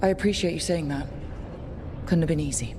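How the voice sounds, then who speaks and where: An actor plays a steady team leader in a fantasy game. The mid-range voice is plain-spoken and perceptive, with understated conversational delivery. Ambient rumbling is clearly audible underneath. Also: fairly quick, noisy background, natural voice. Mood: sincere, curious. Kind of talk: naturalistic video game acting.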